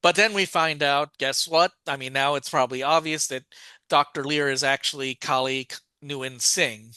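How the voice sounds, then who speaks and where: A man's voice: medium pitch, steady tone, normal speed, pushed and bright, smooth, no echo. A man co-hosting a podcast, recapping a story in a rambling, casual way with many restarts and fillers. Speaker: podcast co-host.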